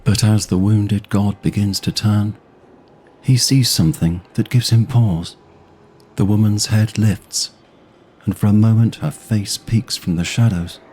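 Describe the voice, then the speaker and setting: A man narrating a scripted fantasy audio drama in a measured, literary storytelling manner. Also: lowish voice, darkish tone, slight background noise.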